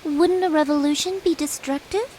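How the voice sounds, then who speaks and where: A woman voicing a gentle, innocent teenage girl in a video game, soft and wondering. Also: high-pitched, normal speed, slight background noise, close to an everyday voice.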